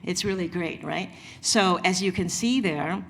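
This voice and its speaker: Chatty chef woman, lowish voice. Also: unhurried, slight background noise. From Vietnam. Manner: conversational, many fillers and restarts, asks audience questions. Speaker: chef presenter. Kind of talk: conference presentation.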